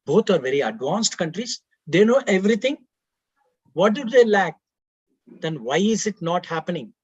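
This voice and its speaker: A man's voice: sits high, sing-song, moderate pace, a little mellow, husky, no echo, highs cut off. A man with an Indian accent whose first language is Tamil, speaking as a webinar panelist with rhetorical questions and frequent restarts over a video call. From Karnataka, India. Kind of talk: earnest panel talk.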